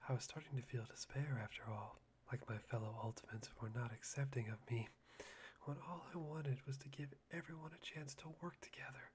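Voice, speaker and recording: A performer voicing a soft-spoken, self-deprecating young man obsessed with hope, in a breathy whispered ASMR roleplay. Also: medium pitch, brisk, slight background noise, lightly acted. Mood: earnest, intense. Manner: breathy whispering with sighs and eerie chuckles.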